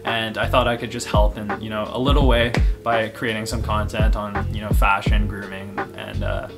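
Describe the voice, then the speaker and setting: A man's voice: lowish voice, expressive, normal speed, creaky. A man of twenty-one chatting casually in a Q&A vlog, upbeat and chummy, laughing often and calling his viewers guys. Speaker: men's fashion and fitness YouTuber. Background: music.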